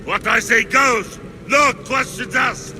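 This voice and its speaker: Domineering thug, very high strained pitch, profane, barking, video game scene, clear noise behind. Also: male voice, slow pace. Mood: furious, menacing. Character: brutal foul-mouthed bullying man ordering punishment. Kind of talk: video game cutscene acting. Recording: loud noise under the voice.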